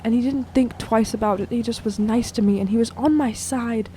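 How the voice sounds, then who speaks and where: High-voiced woman gaming, some background noise. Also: fairly quick, dark tone. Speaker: tabletop game player. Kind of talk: casual improvised in-character chat.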